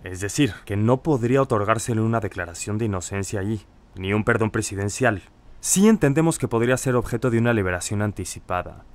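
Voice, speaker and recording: An actor playing a shrewd, guarded Mexican government official in an audio drama, formal and discreet. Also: male voice, fairly low pitch, animated, fairly quick, a little mellow, close to an everyday voice. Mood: cautious, formal. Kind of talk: scripted audio drama dialogue.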